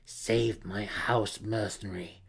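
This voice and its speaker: A man voicing a desperate, imperious dark elf matriarch in a fantasy audiobook, with a breathy, growling whisper and a menacing, commanding manner. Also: fairly low pitch, fairly even intonation, takes it slowly, exaggerated voice.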